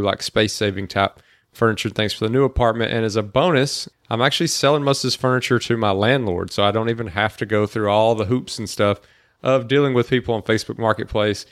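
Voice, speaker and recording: A young man from the Boston area co-hosting a podcast, chatting in a relaxed, rambling way. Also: on the low side, no room sound, studio quality. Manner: relaxed, rambling, lots of fillers like "like". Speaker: podcast co-host. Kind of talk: casual chat.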